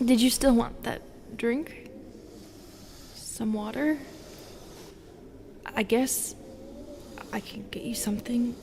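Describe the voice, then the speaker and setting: A woman playing a lonely, wounded and wary Medusa in an intimate audio roleplay, speaking hesitantly and softly, often dropping into a whisper. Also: a little high, steady tone, a little hiss or hum. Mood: shy, disbelieving. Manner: hesitant, halting, soft and breathy.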